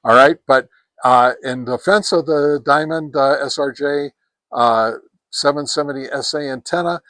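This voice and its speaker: A man's voice: a little high, normal speed, sharp tone, no room sound, clean studio sound. A man hosting a casual hands-on gear review outdoors, talking in a folksy way and repeating words for emphasis. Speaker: product reviewer and host. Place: outdoors on a deck.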